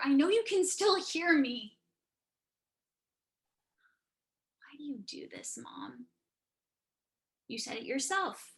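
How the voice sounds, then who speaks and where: A woman's voice: very high-pitched, fairly bright tone, exaggerated voice. A woman playing an exasperated, stubborn adult Indian American daughter in an emotional, argumentative staged reading. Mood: hurt, exasperated. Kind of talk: staged reading over video call.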